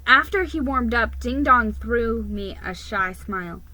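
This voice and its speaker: A woman giving a dramatic, expressive reading of a children's story, stumbling over the odd word. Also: fairly high pitch, measured pace, no echo. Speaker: storybook reader.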